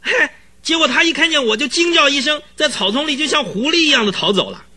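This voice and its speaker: An actor in a radio drama playing an excitable, easily frightened horse trainer who tells things in a husky, rambling, dramatic way. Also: male voice, very high-pitched, fast talker, heavily acted. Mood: spooked, excited. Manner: rambling, dramatic storytelling full of interjections.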